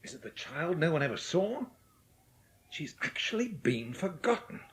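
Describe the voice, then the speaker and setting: Audiobook drama part: startled, compassionate man, highish and extremely animated. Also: normal speed. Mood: astonished. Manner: exclaiming, pitying. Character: startled, pitying man finding an abandoned child.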